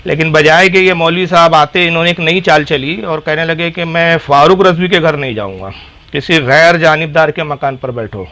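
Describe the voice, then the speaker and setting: Man, slightly high voice, formal articulate diction, sarcastic cleric telling his side of a dispute. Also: a bit bright. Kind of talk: recounting a dispute on a phone call.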